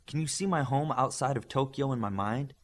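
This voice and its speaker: A man voicing a brisk, bossy young Japanese superheroine in an audiobook, speaking in an assertive, instructing way. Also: mid-range voice, voice pushed up, lightly acted.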